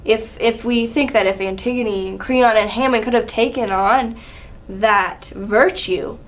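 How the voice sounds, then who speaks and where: A woman's voice: on the high side, steady tone, a little slow, rough recording. A young adult woman giving a casual, informal student presentation.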